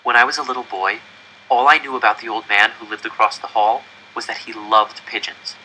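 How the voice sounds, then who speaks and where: A man reading a first-person story aloud in an expressive, dramatic storytelling style. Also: fairly low pitch, a little fast, slight background noise, low-quality recording. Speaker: audiobook reader.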